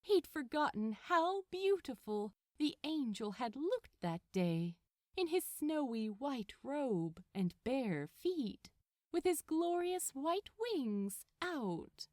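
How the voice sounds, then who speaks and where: A woman reading a story aloud in an expressive storybook narration style. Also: high-pitched, takes it slowly, no room sound, studio quality. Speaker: fan fiction narrator.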